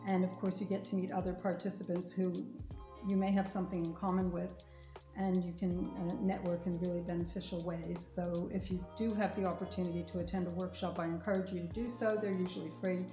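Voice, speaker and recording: A woman's voice: on the low side, flat delivery, a little fast, dark tone, reverberant, soft background music. A woman lawyer speaking to viewers in an earnest, careful way, urging them to listen to everything she explains. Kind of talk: informational talk to viewers.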